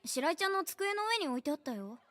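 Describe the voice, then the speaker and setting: Helpful Japanese teen schoolgirl, anime game acting, high-pitched, quick, soft. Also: sing-song. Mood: helpful, tentative.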